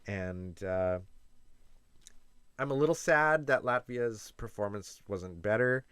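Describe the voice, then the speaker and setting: A young man from Canada talks through his reactions in a loose, chatty way, hedging and restarting as he weighs his opinions. His voice sits low and comes across smooth and resonant. The sound is close and dry, with no room around it. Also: takes it slowly, fairly bright tone, no room sound. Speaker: YouTube reaction host. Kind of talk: casual reaction commentary.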